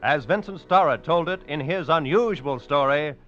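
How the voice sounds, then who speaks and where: A man's voice: on the high side, normal speed. A man introducing a 1940s radio drama as its announcer in a grand, dramatic style. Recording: muffled old radio recording with loud organ music.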